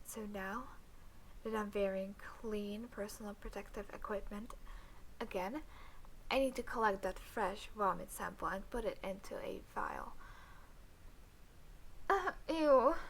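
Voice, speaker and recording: A woman's voice: on the high side, fairly bright tone, slight background noise, poor audio. A woman playing a detached female alien scientist in an audio roleplay, speaking clinically with playful hums and asides to the listener. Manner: clinical log-keeping, drawn-out hesitant hums and asides.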